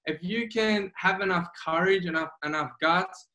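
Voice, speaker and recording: A man's voice: high-pitched, normal speed, pushed and bright. A man with an Australian accent, a guest coach in a remote interview, speaking earnestly and repeating his key phrases for emphasis. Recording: roomy echo.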